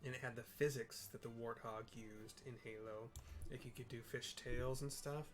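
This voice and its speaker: A man's voice: medium pitch, steady tone, normal speed, over music. A man giving casual, slangy commentary on his own video game playthrough in a playful way. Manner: playful, slangy, breaks into singing and sound effects. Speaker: gamer narrating own playthrough. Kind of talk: casual gameplay commentary with read-aloud dialogue.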